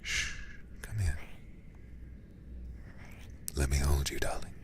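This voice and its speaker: A man voicing a possessive, controlling male lover who hushes and coaxes in a soft whisper, tender on the surface and menacing beneath. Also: very deep voice. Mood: coaxing whisper. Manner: slow, coaxing, falsely tender. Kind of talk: audio roleplay, whispered.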